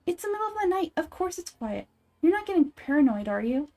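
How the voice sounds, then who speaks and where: Woman putting on a squeaky eager little companion girl. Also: very animated, fairly quick, darkish tone. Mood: teasing. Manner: refers to self in third person. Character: small chirpy childlike companion girl, eager and bossy.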